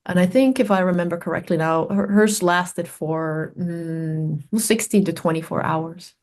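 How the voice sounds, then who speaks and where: Low-voiced woman, thoughtful. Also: fairly even intonation, a little mellow. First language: Finnish. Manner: thoughtful, searching for words with many pauses and fillers. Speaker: guest researcher and author. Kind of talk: reflective conversational storytelling.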